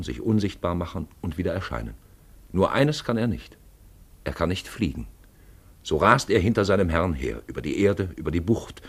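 A man's voice: deep voice, breathy edge, heavily acted. A man acting as a storyteller, narrating a literary radio play in a lyrical, dramatic manner. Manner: dramatic, lyrical, swelling and dropping away.

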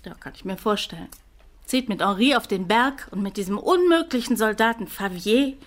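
Radio play part: actress as bossy, practical daughter, mid-pitched female voice, brisk dry delivery. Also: animated, lightly acted. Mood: brisk, impatient. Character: brisk, bossy grown daughter running the household. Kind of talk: German radio play acting.

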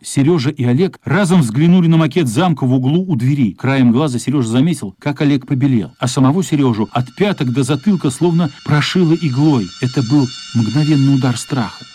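A man's voice: medium pitch, brisk, few pauses, mellow, slightly rough, no room sound, close to an everyday voice. A man narrating a children's radio play as a scripted storyteller.

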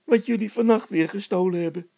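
A man voicing a stubborn, hot-tempered housekeeper woman in a storybook, shrill and vehement, repeating herself. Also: a little fast, creaky, mellow, no echo. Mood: defiant.

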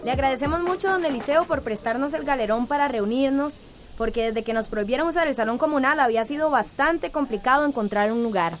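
Woman as courteous village organiser, higher-pitched. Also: fast talker, music in the background, close to an everyday voice. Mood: organised. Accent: Central American Spanish. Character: encouraging, orderly woman leading a village meeting. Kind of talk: radio drama acting.

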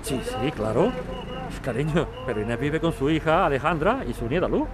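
A man's voice: fairly high pitch, animated, moderate pace, a crowd in the background, close to an everyday voice. A man playing a worried, conciliatory husband and son in a radio drama, speaking earnestly and explaining himself. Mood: concerned.

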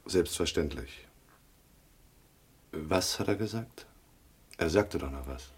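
A man's voice: low voice, animated, takes it slowly, husky, full character voice. A man playing a tough private detective who narrates a hard-boiled radio crime drama tersely and matter-of-factly. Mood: hushed. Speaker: first-person narrator.

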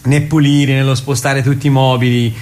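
A man's voice: mid-range voice, little pitch movement, moderate pace, darkish tone, close to an everyday voice. An actor playing a well-meaning forty-year-old man with a Tuscan accent, chatting colloquially and insistently in a radio play. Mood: coaxing. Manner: chatty, insistent, repeats himself. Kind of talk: radio theatre dialogue, casual and colloquial.

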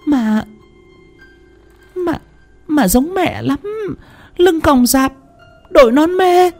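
A man voicing a terrified young wife who stammers in shrill panic. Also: sing-song, moderate pace, warm tone, husky, soft background music. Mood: panicked stammering.

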